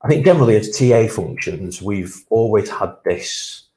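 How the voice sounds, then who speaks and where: Man, panel guest, emphatic, low pitch, gravelly. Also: animated, normal speed, warm tone. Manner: conversational, emphatic opinions. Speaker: panel guest, talent acquisition manager. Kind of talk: panel discussion. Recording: echoey room.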